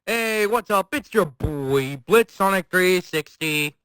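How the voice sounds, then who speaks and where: Man playing a casual gaming YouTuber, highish voice. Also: lively intonation, takes it slowly, a bit bright, smooth, dry recording, heavily acted. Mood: casual, informative. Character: male gaming YouTuber introducing a game demo. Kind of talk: casual gaming video dub.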